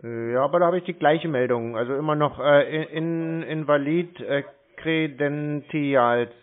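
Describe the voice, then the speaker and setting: Hesitant man on a tinny phone line. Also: on the high side. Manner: hesitant, slow-witted, rambling explanations. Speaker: caller posing as a confused customer. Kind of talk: casual phone conversation.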